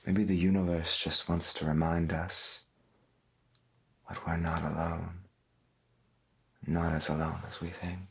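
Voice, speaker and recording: Man acting a veteran late-night AM radio host, husky, slow, folksy, hesitant, gentle, soft-spoken ASMR. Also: deep voice, level tone, full character voice. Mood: reflective, soothing. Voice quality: husky, gravelly. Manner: hesitant, folksy, murmured, musing.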